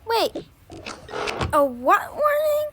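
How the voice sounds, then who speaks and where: Frightened little kid, played by a woman: extremely high, pleading whine, slow delivery, toy-app drama, faint noise. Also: sing-song, full character voice. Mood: alarmed. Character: frightened young child fretting about a storm.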